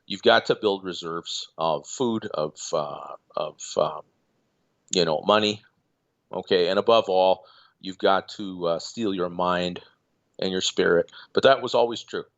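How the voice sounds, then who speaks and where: A man calling in as a guest, talking in a measured, hesitant way with many fillers. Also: deep voice, gravelly, dull audio. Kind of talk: casual remote call-in chat.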